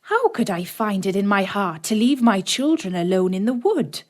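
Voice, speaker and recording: A woman's voice: mid-range voice, sing-song, normal speed, a little mellow. A woman voicing a poor, worried, tender-hearted woodcutter father in a storybook, earnest and careworn. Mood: anxious.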